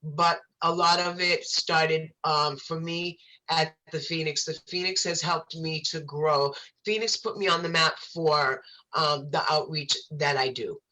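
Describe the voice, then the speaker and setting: A woman's voice: deep voice, fairly even intonation, a little slow, bright, forceful tone, low-quality recording. A woman, a recovery organization guest speaking with passion in a casual live-stream chat, repeating herself for emphasis.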